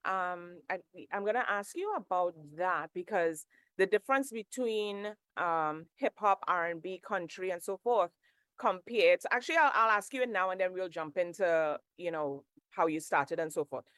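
A woman's voice: lowish voice, normal speed, fairly bright tone, clear, resonant tone, no echo. Woman radio host, dry close sound. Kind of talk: casual talk show interview.

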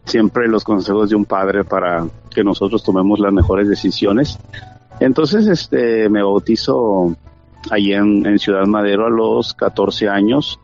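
Earnest Mexican man, lowish voice. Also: measured pace, darkish tone. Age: 30s. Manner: earnest, many fillers and restarts. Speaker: interview guest. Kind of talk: church testimony interview. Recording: dull lo-fi sound with faint music.

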